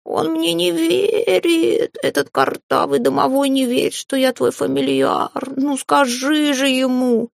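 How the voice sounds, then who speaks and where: A pampered talking tomcat from a fantasy storybook, acted out by a woman, boastful and easily offended. The voice climbs high and complains with whiny drama, in a close, dry recording. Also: steady tone, unhurried, creaky, few pauses, dry recording, full character voice. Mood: outraged, pleading. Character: indignant, pampered, boastful male talking cat familiar.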